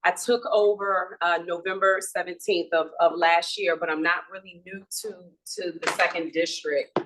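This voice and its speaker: A woman police commander with a fairly low voice talks informally to a neighborhood meeting. She speaks without a microphone, so she sounds distant across the room. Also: fairly even intonation, normal speed, fairly bright tone. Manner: informal, chatty, with many restarts and fillers. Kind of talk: community meeting talk.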